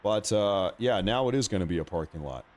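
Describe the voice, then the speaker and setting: A man's voice: fairly low pitch, lively intonation, a little fast. A man hosting a live stream, reacting informally and commenting on breaking news in a casual way.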